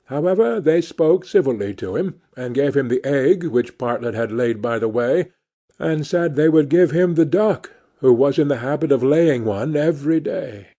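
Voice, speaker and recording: A man's voice: medium pitch, lively intonation, normal speed, dark tone. A man reading a fairy tale aloud as scripted storybook narration. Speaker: audiobook reader.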